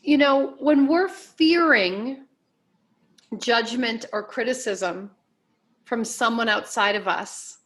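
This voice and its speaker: A woman being interviewed as a life coach, giving advice in deliberate, emphatic phrases with measured repetition. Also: on the high side, a bit bright.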